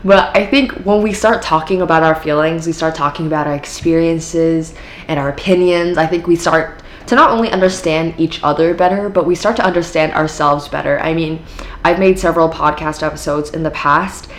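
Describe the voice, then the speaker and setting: This is a teenage woman with an American accent, chatting casually on her own podcast. Her voice sits low for a young woman, and she rambles with fillers in a friendly, upbeat way. A faint noise hums under the recording. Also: a little fast. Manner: chatty, filler-heavy, upbeat with frequent laughs. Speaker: podcast host. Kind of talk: casual solo podcast talk.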